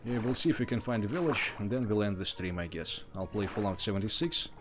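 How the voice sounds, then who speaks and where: Russian-accented male streamer, lowish voice, laid-back running commentary, quiet music behind. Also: quick pace. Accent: Russian, mixed with other influences. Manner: relaxed, thinks aloud while playing and thanks viewers. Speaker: livestreamer. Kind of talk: casual gameplay livestream chat.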